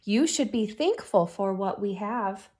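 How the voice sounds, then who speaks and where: A woman's voice: mid-range voice, animated, measured pace, dark tone, pitched below the performer's own voice, natural voice. A woman voicing a father critter in a children's storybook, a patient parent who admonishes firmly.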